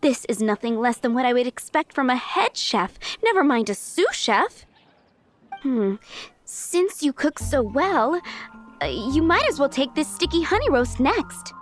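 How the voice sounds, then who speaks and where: Harried young restaurant manager. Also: female voice, high voice, very animated, a little slow. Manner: earnest, flustered, businesslike. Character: young woman running a busy restaurant, harried but kind. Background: game music.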